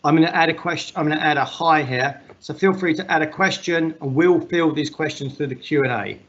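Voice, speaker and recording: Loud-voiced man hosting a meetup. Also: on the high side, a little fast, highs cut off. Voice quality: loud, projecting voice. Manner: rambling, filler-heavy, joking. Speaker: meetup host. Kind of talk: casual livestream meetup hosting.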